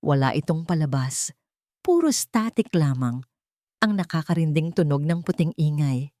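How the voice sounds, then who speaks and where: A woman's voice: low voice, animated, normal speed, warm tone, no room sound, studio quality. A woman narrating a scripted first-person story in a dramatic, suspenseful and emotive way.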